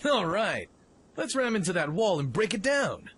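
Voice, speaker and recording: A man playing a brash, eager young mech pilot in an audio drama, informal and excitable in his delivery. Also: high voice, normal speed, breathy edge, full character voice. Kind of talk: fan audio drama acting.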